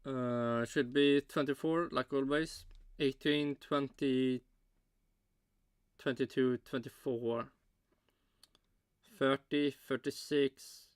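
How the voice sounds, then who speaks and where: A man hosting a casual reaction video, talking haltingly with many fillers as he counts aloud. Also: mid-range voice, fairly even intonation, clean tone, dry recording, clean studio sound. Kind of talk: casual commentary while watching.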